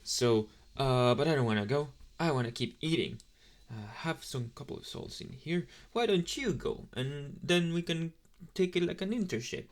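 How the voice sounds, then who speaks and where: A man's voice: fairly high pitch, lively intonation, moderate pace. A man playing an inexperienced young male demon intern in an ASMR roleplay, chatting in a casual, hesitant, apologetic way straight to the listener. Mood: sheepish, apologetic. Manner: rambling, hesitant, casually chatty with slangy asides.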